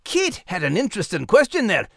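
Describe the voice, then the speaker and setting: Cocky, high-pitched Scottish terrier. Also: male voice, wildly swooping pitch, a little fast, vocal fry, a bit bright, no room sound, clean studio sound, exaggerated voice. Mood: curious, chatty. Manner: teasing, blunt, folksy. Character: cocky, sarcastic male terrier dog with a soft heart. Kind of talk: audiobook character acting.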